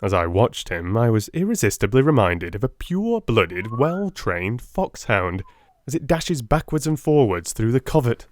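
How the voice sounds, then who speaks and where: A man reading a detective audiobook as narrator, in a theatrical, measured storytelling style. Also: mid-range voice, very animated. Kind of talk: dramatic scripted narration of a classic detective story.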